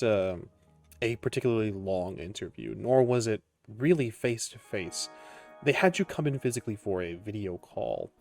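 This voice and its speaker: A man runs a tabletop game as its narrator. His voice is low and measured, broken by pauses. Faint music plays behind him. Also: darkish tone. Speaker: game master.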